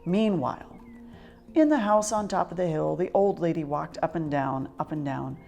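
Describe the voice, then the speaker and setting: A woman reading a children's picture book aloud as an expressive, dramatic storyteller. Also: deep voice, moderate pace, gravelly, soft background music. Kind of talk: expressive scripted story narration.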